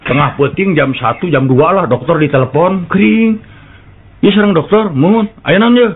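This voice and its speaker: A Sundanese man with a Sundanese accent, telling comic folk jokes and breaking into laughter and high character voices. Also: normal speed. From West Java, Indonesia. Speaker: comic storyteller. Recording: tinny phone-like sound with a live crowd.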